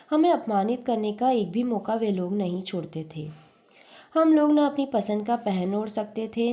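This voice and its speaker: Mellow woman storyteller, earnest and measured. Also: mid-range voice. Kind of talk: expressive literary story narration.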